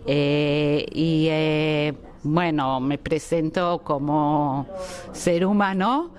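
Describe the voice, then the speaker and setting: A woman from Argentina, slowly answering interview questions and often pausing with fillers as she searches for words. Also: deep voice, darkish tone. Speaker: interviewee, education researcher. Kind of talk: slow, thoughtful interview answers.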